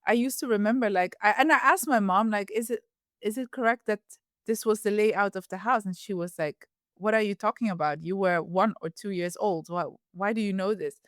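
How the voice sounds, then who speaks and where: Reflective woman guest, smooth clear voice. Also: mid-range voice, a little fast, no echo, studio quality. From Ethiopia, raised in the Netherlands. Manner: reflective, with frequent fillers and restarts. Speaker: podcast guest. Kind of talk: casual interview conversation.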